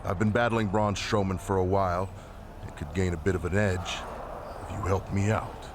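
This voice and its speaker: A deep-voiced, scheming wrestler played by a male actor in a video game cutscene. He is blunt and terse, with cool self-assurance, over clearly noisy ambience. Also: fairly even intonation, moderate pace, close to an everyday voice.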